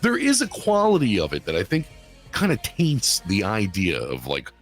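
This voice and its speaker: A man in his late thirties, co-hosting a podcast in casual chat, animated and profane, often asking "you know what I'm saying". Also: on the low side, moderate pace, soft background music. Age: 30s. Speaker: podcast co-host.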